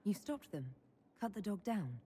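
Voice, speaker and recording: A woman playing a steady, kind, lightly wry leader in a fantasy video game, with a husky voice. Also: mid-range voice, normal speed, slight background noise, lightly acted. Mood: concerned. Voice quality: husky, raspy, mellow. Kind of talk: video game dialogue acting.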